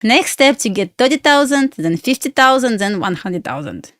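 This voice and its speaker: A woman's voice: on the high side, very animated, normal speed, a bit bright, no room sound, studio quality. Bubbly woman. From Belarus. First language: Russian. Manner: bubbly and giggly, with loose non-native grammar. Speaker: futures day trader streaming live. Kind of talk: casual live stream chat.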